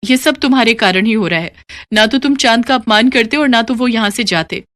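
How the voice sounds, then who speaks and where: A woman voicing a mild, reasoning farmer's wife in an animated moral story. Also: fairly high pitch, a little fast, no echo, close to an everyday voice. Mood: reproachful.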